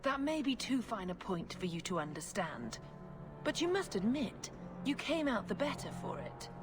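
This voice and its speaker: A woman's voice: medium pitch, big pitch swings, normal speed, over music, natural voice. An actress playing a cold, righteous, scheming female cleric in a video game, speaking formally and with condescension. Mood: cold, condescending. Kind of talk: video game cutscene acting. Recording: clear background ambient noise.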